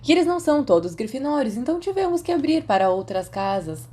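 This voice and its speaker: A woman's voice: high-pitched, very animated, quick pace, mellow, breathy edge, pitched above the performer's own voice, exaggerated voice. A woman plays a popular teenage boy wizard in an audiobook. He is a smug prankster who talks in a teasing, self-assured way. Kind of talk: fan fiction audiobook dialogue.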